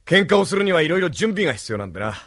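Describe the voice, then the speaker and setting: An actor playing a brash, swaggering young street boss and brawler in a Japanese anime audio drama, rough-spoken and cocky. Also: male voice, on the high side, big pitch swings, fast, fairly bright tone, no echo, clean studio sound.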